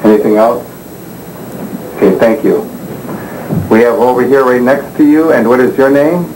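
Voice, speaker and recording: A man's voice: medium pitch, steady tone, normal speed. A man interviewing people, asking short, plain, level questions. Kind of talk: recorded video interview. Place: indoors in a residential compound. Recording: echoey, noisy archival video.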